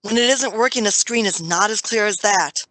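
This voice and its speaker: Woman as a bright, imperious old Doctor. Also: medium pitch, dry recording, lightly acted, rough recording. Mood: testy. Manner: commanding, fussy, deliberate. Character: imperious, grandfatherly old male Doctor, crisp and stubborn. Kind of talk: audio drama acting.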